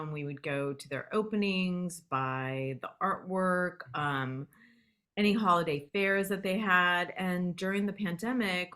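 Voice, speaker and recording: A woman's voice: on the low side, a bit bright. A woman filmmaker on a video call, earnestly telling how her film came about. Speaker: filmmaker guest.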